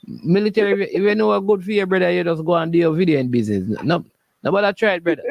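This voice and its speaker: Casting: male Jamaican call-in host with a high-pitched voice, casual and joking, recorded dry. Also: fast, vocal fry, dry recording, dull audio. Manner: animated, jocular, repeats phrases. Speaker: host of a sports call-in show. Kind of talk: casual live call-in chat in Patois.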